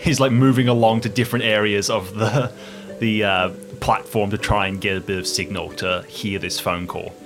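A man's voice: lowish voice, steady tone, moderate pace. A man playing a tabletop role-playing game, casually improvising a description of his character, with music underneath.

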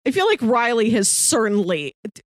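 Lively, higher-voiced woman chatting. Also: moderate pace, dry recording, studio quality. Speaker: podcast co-host. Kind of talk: casual film discussion chat.

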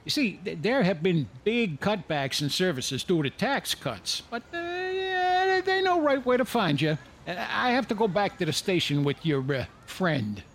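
A man's voice: high voice, expressive, unhurried, fairly bright tone, exaggerated voice. A man playing a brusque, matter-of-fact police officer in an audio drama, with a blunt, officious manner.

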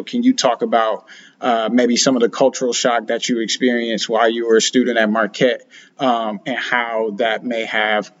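A man's voice: mid-range voice, lo-fi sound, slight background noise. A man hosting an interview asks long, hesitant questions, filling them with "uh" and "you know". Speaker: podcast host, interviewer.

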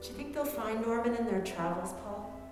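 A woman playing a gentle, wondering dying woman in a radio play, speaking tenderly. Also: on the high side, lively intonation, a little fast, natural voice. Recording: very echoey hall, music behind.

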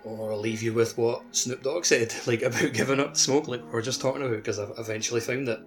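A man's voice: medium pitch, fairly even intonation, fast, faint music behind. A man on a game podcast telling a funny story, laughing through it. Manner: giggly, storytelling. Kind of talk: casual podcast chat.

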